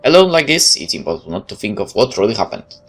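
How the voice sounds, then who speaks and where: Low, lively-pitched female gamer reading aloud. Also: fast talker, soft background music. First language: Polish. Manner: stumbles and self-corrects while reading. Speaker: let's play streamer. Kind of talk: reading game narration aloud with casual commentary.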